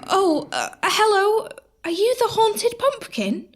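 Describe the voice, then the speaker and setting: A woman plays a sweet young pantomime heroine. Her voice is very high and lilts widely, earnest and wide-eyed. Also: sing-song, slow, heavily acted. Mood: hopeful. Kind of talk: audio pantomime acting.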